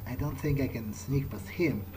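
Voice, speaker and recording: A man's voice: mid-range voice, moderate pace, low-quality recording. A man giving casual, in-character gameplay commentary, musing aloud to himself in a wry way. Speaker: let's play host. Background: game music.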